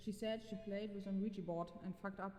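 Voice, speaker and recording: A woman's voice: medium pitch, steady tone, fairly quick, a little mellow, lightly acted. A woman playing a stern young woman who knows the occult, speaking coldly and bluntly in a dramatised horror story. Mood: wary. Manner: cold, blunt, commanding. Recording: echoey with long reverb tail.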